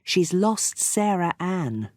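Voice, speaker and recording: Male narrator as worried farm mum: high, slow, swooping. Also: very animated, dry recording, studio quality, full character voice. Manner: fretful, high falsetto, slow.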